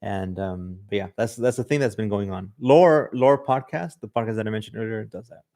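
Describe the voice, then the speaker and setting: Male podcast host, Mexican-tinged accent, lowish voice, rambling livestream chat. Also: a little fast, mellow. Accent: Mexican Spanish influence. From Southern California. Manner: loose and chatty, many "like" and "um" fillers. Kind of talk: casual livestream chat reading viewer comments. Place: livestream in front of a camera.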